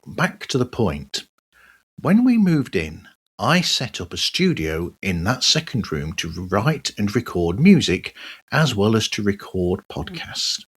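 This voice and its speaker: A man's voice: on the low side, slightly rough. A man hosting a podcast monologue in a personal style, dropping wry, sardonic asides as he goes. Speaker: podcast host.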